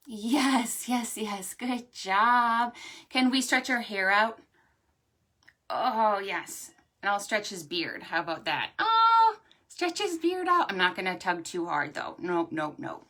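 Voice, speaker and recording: A woman hosting a storytime for preschoolers, talking to small children in an animated, sing-song way. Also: mid-range voice, slow pace. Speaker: children's librarian and storytime host. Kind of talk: preschool storytime with stretches and songs.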